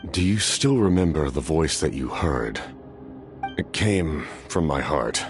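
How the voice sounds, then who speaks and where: A man playing a cursed immortal captain in a video game, grave, formal and resolute, with deliberate dramatic delivery. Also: rumbling low, lively intonation, music in the background. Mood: solemn, resolute.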